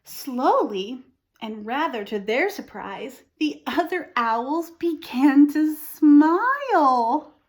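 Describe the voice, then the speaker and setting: A woman reading a picture book aloud to young children, pausing to explain things simply and ask questions. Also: high-pitched. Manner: expressive, asks the listener questions, explains simply. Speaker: storytime reader for young children.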